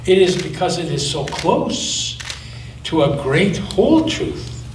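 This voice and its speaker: A male pastor reading aloud and voicing an ancient, wise, gentle female turtle in a soft, hushed, slow way in an echoey church. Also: a little high, sing-song, far from the mic, a little hiss or hum.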